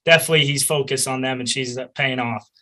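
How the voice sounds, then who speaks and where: A man's voice: mid-range voice, fairly even intonation, fast, pushed and bright. Laid-back young man with a Boston accent. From Boston area. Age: young adult. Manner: laid-back, rambling, with many likes and laughs. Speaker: college lacrosse player, guest. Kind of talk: casual interview answers.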